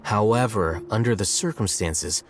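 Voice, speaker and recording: A man voicing a calm, dry-witted scholar who teases his companions in a game cutscene. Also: lowish voice, moderate pace, faint music behind, natural voice. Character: calm, wry male scholar.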